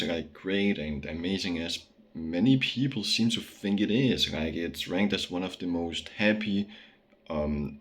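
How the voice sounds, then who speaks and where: Low-voiced young Danish man ranting. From Denmark. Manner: slangy, frequent fillers and restarts. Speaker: vlogger. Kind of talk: casual rant to camera.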